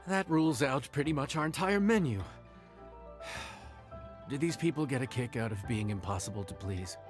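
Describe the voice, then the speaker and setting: An actor playing a proud, grumbling inn chef in a fantasy video game, weary and exasperated. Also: male voice, medium pitch, animated, normal speed, close to an everyday voice. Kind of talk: English video game voice acting. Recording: music behind the voice.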